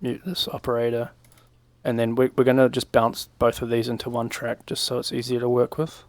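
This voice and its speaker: A young man giving a casual, informal software tutorial, talking through each step in a laid-back, slangy way at a desk microphone. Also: mid-range voice, completely flat delivery, brisk, dry recording. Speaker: music production tutorial presenter. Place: small home room, indoors. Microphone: desk microphone on a boom arm.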